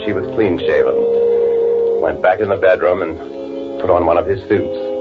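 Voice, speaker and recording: An actor playing a bitter, gloating murderer who poses as his twin, confessing in halting first-person 1940s radio drama narration. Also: deep voice. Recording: tinny vintage radio recording with music.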